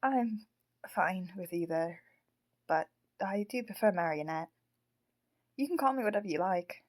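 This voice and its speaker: A woman acts out a haunted puppet in an audio drama. The voice sits at a middle pitch. The puppet is polite, earnest and precise with its words. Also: lively intonation, measured pace, a little mellow, natural voice. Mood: polite, reserved. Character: Marionette, polite, anxious, proud haunted puppet.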